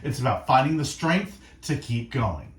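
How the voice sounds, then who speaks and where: Earnest male radio host, very expressive pitch. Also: roomy sound. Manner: earnest, reflective, speaking in short deliberate phrases. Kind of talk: faith-based encouragement monologue on music radio. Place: radio studio.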